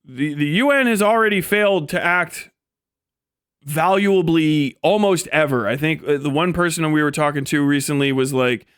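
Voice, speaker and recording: A man livestreaming an opinionated, off-the-cuff rant, blunt, sweary and sardonic, acting out imagined exchanges in an animated way. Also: on the high side, clear, resonant tone, dry recording, studio quality. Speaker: livestream host.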